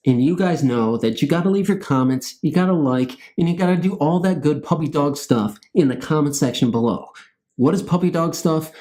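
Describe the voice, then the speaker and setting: A man's voice: on the high side, animated, a little fast, warm tone. A man hosting a channel, chatting casually to his viewers in a goofy, self-mocking, rambling way. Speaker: host of a scary story channel.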